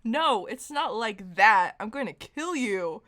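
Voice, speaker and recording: A woman's voice: fairly high pitch, lively intonation, slow pace, fairly bright tone. Woman doing a flustered, threatening young male vampire. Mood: exasperated, stern. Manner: earnest, flustered, sternly menacing. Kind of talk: comic fan fiction read-aloud.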